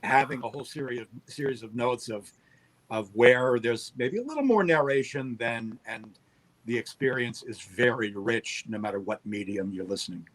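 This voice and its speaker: Mid-pitched man explaining things haltingly. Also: poor audio. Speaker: guest, audio drama producer. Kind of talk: casual explanatory interview talk.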